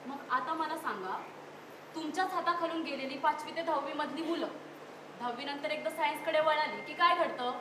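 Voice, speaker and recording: A woman's voice: high voice, moderate pace, natural voice. A woman playing an earnest city sister-in-law in a live stage play, arguing her case politely and with emphasis. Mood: earnest, persuasive. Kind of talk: live one-act stage play acting. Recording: echoey distant stage recording with noise.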